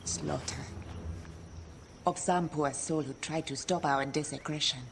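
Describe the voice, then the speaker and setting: An actress playing a guarded, world-weary woman merchant in a video game, speaking gravely and dramatically. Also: sits low, fairly even intonation, a little slow, noisy background. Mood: remorseful, fearful. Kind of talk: video game cutscene acting. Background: music.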